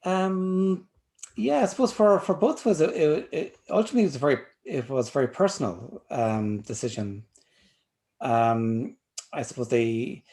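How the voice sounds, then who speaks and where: A man from North Tipperary with an Irish accent, answering as a guest in a thoughtful, measured way. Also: medium pitch, a little mellow. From North Tipperary, Ireland. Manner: thoughtful, measured, uses "I suppose". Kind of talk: casual interview answers.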